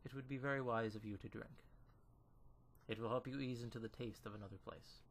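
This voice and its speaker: Man acting a measured, firm mentor. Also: medium pitch, steady tone, a little hiss or hum, lightly acted. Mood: gently persuasive. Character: calm, firm male mentor, gently persuasive. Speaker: voice actor in a fan dub. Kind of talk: dramatic visual novel dialogue.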